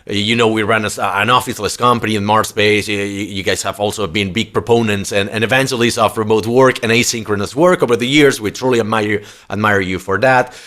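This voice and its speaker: A man's voice: fairly low pitch, steady tone, a little fast, few pauses, fairly bright tone. A man hosting a podcast interview, chatty and blunt, with frequent fillers and restarts. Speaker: podcast host.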